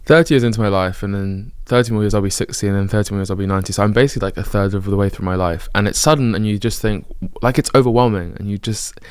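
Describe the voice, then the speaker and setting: A thirty-year-old man with a British accent speaks to camera in a candid, self-correcting ramble. His voice sits a little low and comes across smooth and clear, and he rattles along at a brisk clip. The sound is close and dry, with no room around it. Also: fairly even intonation, no room sound. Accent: British English. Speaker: vlogger. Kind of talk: reflective personal monologue.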